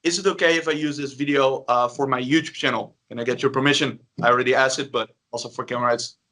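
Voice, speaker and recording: A man's voice: medium pitch, fairly even intonation, brisk, bright, forceful tone. A man interviewing a girl on video, speaking hesitantly with many filler sounds.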